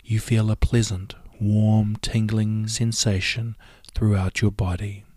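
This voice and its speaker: Very deep, slow, whispery male hypnotist. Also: level tone, no echo, studio quality. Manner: soft, slow, often half-whispered soothing delivery. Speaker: hypnotherapy guide. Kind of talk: guided hypnosis and relaxation script.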